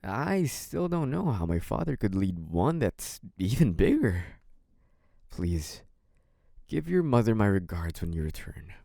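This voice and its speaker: Man acting a young mafia heir, creaky and expressive. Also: lowish voice, a little slow, no echo, studio quality, exaggerated voice. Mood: polite, probing. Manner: hesitant and apologetic act, turning smug and taunting. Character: young mafia heir, feigned naive politeness hiding cunning, possessive menace. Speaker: audio roleplay performer. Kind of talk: dramatic first-person audio roleplay.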